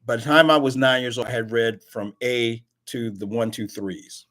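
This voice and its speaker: An emphatic man hosts an online talk radio show in loose, casual conversation. His voice sits at a middle pitch, and he doubles back on words when he gets heated. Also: moderate pace, fairly bright tone. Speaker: host.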